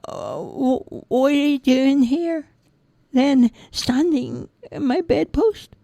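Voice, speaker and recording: Woman voicing a high, stammering young boy for a storybook. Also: slow pace, vocal fry, warm tone, no room sound, heavily acted. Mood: wondering. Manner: hesitant, stammering. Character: young boy, tearful and wondering.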